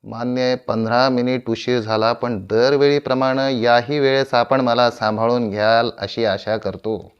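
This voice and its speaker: A man acting a proud, aging veteran stage actor in a theatrical monologue, grandiloquent and deliberate, with dramatic pauses and repeated phrases. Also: mid-range voice, fairly even intonation, clean tone, dull audio, natural voice. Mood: wistful, apologetic. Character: proud, aging veteran Marathi stage tragedian addressing his audience.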